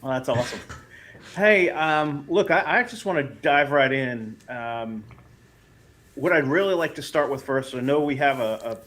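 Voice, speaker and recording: A man's voice: medium pitch, expressive, moderate pace, fairly bright tone. A man hosting a casual live-stream interview about comics, upbeat and hesitant, with many "um"s, speaking into a new desk mic at home. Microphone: new USB mic, home setup.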